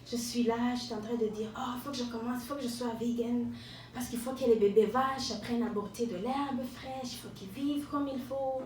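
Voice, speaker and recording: Femme rwandaise à la voix aiguë, salle résonnante. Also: female voice, on the high side, steady tone, a little mellow. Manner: self-mocking, ironic, hesitant pauses with repeated words. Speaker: stand-up comedian. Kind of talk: stand-up monologue to a live audience. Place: live venue with an audience. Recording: echoey room, distant mic, audience noise.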